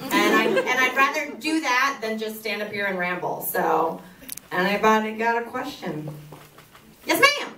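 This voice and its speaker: A woman's voice: on the high side, expressive, moderate pace, bright, forceful tone. A young woman with a Southern US accent answering audience questions on a theater stage into a handheld mic, chatty and self-mocking, laughing often. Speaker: author at a book signing. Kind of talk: live audience Q&A. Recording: echoey hall, heard from far off. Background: crowd noise.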